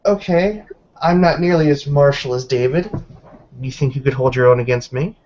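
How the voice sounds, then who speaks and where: A confident, combative werewolf woman, played by a man at the game table in an everyday mid-pitched voice. The delivery is challenging and martial, and the recording is slightly dull and lo-fi. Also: moderate pace, darkish tone, natural voice. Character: martial, cocky female werewolf spoiling for a fight.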